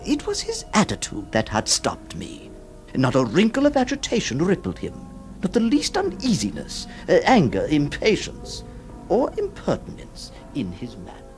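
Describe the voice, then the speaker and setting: A man's voice: a little high, wildly swooping pitch, moderate pace, soft background music. An actor playing a flustered, hesitant lawyer who stammers, also narrating his story in a radio drama. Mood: reflective. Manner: stammering, exasperated, theatrical.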